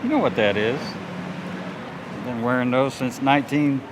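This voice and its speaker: A man's voice: mid-range voice, a little slow. Older guy in his 60s, easygoing sly chat, noisy outdoor truck sounds behind. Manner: playful, sly, folksy. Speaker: airport worker interviewed on location. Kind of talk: casual chat. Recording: noisy field recording, vehicle sounds.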